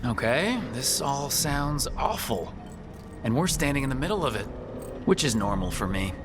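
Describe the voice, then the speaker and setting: A male actor voices a wry young adventurer in a fantasy game. He is plain-spoken and steady, with a dry, understated delivery and a medium pitch. Music plays clearly behind him. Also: normal speed, lightly acted. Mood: wary. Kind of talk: video game voice acting.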